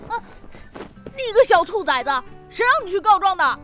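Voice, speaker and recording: Woman as a shrill, brash bullying little boy. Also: big pitch swings, moderate pace, soft background music, full character voice. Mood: furious, threatening. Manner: shouting, taunting. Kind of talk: Chinese audio drama acting.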